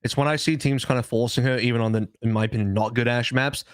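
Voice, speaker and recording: A man's voice: mid-range voice, fairly even intonation, fast talker, smooth, no room sound, clean studio sound. A man giving casual, opinionated analysis as an esports podcast co-host.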